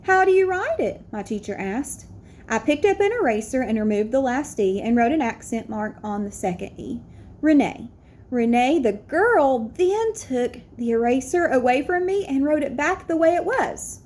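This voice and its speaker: High-voiced woman reading a picture book aloud. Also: normal speed. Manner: expressive, explains to young listeners. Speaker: read-aloud storyteller.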